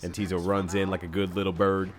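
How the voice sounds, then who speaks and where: A man commentating a video game with friends, reacting excitedly and laughing. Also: low voice, fast. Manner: excitable, laughing, lively play-by-play. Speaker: let's play commentator. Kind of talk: casual gameplay commentary.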